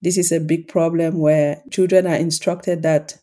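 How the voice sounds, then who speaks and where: Low, mellow woman. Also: moderate pace. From Cameroon. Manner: calm, reflective, explanatory. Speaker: podcast host and coach. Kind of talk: solo podcast talk.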